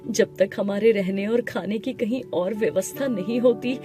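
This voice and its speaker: A woman voicing a humble, gentle village husband in an animated moral story, earnest and deferential, with music behind. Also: mid-range voice, moderate pace, natural voice. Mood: gentle, reassuring. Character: humble poor husband, adult man, pleading and reassuring. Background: background music.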